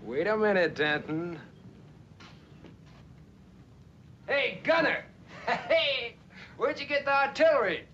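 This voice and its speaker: An actor playing a cocky, menacing gunslinger bully in a vintage TV Western, taunting and goading. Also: male voice, extremely high pitch, animated, unhurried, sharp tone, full character voice. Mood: mocking. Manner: mocking, goading. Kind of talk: 1950s television Western acting. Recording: noisy lo-fi vintage TV soundtrack.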